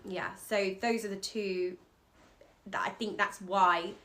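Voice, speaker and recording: A woman's voice: mid-range voice, steady tone, takes it slowly, pushed and bright, slight background noise. Earnest Scottish woman reading a chart. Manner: encouraging, earnest, loose fillers and restarts. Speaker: astrologer.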